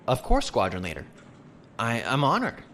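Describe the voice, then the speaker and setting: A man's voice: medium pitch, huge pitch swings, normal speed, fairly bright tone, slight background noise. A man playing a dutiful, resigned starship captain with an understated manner in an audio drama. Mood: resigned, calm.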